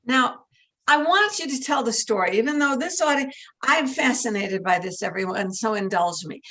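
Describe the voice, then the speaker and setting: A woman's voice: fairly high pitch, lively intonation, normal speed, bright, forceful tone. Enthusiastic woman interviewer. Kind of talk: conversational interview.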